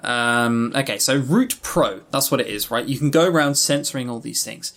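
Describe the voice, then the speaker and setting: A man's voice: mid-range voice, normal speed, a bit bright. A man co-hosting a podcast, giving an emphatic, rambling, opinionated review in casual talk. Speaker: podcast co-host. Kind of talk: casual review commentary on visual novels.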